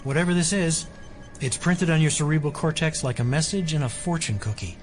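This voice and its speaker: A man's voice: a little high, fairly quick, soft background music, natural voice. A man playing an earnest, brainy hacker in an audio drama, explaining things in careful technical terms. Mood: focused.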